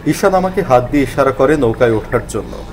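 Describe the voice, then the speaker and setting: Animated male horror narrator, mid-pitched. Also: a little fast, noisy background. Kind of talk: dramatic scripted horror story narration.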